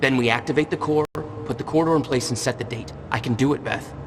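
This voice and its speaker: A man's voice: medium pitch, fast, background noise, natural voice. An actor playing an impulsive, determined American man, perhaps in his thirties, in a naturalistic video game performance. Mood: urgent, hopeful. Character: impulsive, determined young man, hero of time-travel thriller. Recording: reverberant hall, music behind.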